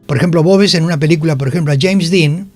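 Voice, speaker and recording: Older man, Rioplatense accent, higher-pitched voice, animated storytelling, radio interview, music behind. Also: quick pace. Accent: Rioplatense Spanish. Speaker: interviewed actor. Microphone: radio studio microphone.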